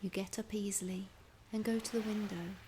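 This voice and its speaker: A woman narrating a scripted guided imagery meditation in a soft, soothing, breathy voice, gently instructing with long pauses. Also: mid-range voice, fairly even intonation, rough recording. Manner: unhurried, with long pauses between phrases. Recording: clear background ambience noise.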